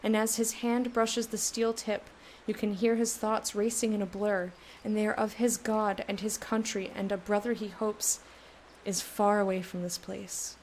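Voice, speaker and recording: A woman's voice: medium pitch, little pitch movement, normal speed, darkish tone, a little hiss or hum. Woman reading game text aloud. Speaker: let's play host.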